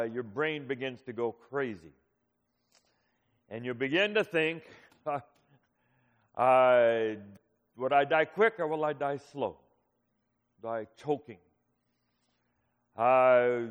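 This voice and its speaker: A man in his fifties, a pastor, preaching a sermon in a relaxed storytelling way with frequent pauses. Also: fairly high pitch. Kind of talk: sermon with personal stories. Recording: muffled hall recording with long reverb.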